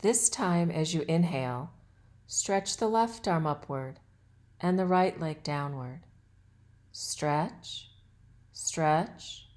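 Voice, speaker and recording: A woman guiding a yoga nidra relaxation as an instructor, with soothing, measured and deliberate phrasing. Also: low voice, darkish tone. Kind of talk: guided meditation and relaxation instruction.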